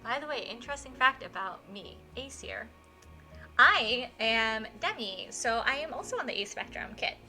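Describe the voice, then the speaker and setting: Bright, excitable woman streamer. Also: on the high side, moderate pace. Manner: effusive, excitable. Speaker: live streamer, host. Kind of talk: casual game stream chat. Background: music.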